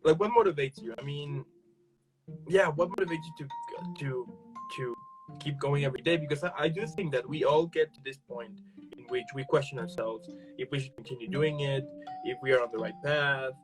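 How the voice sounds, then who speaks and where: A man's voice: medium pitch, normal speed, music in the background, poor audio. A man hosting an interview show, asking questions in an eager, upbeat way. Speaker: host. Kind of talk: casual interview chat.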